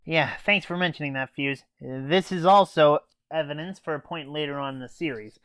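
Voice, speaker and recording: A man's voice: on the high side, normal speed, fairly bright tone, clear, resonant tone. A teenage male anime reviewer giving an informal comic recap in a dry, slangy way. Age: teens.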